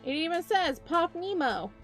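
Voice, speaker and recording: A woman's voice: extremely high pitch, big pitch swings, moderate pace, fairly bright tone, soft background music. A woman streaming live as a VTuber, chatting casually with her viewers and joking about herself, her voice tired. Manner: chatty, talks to chat, self-mocking.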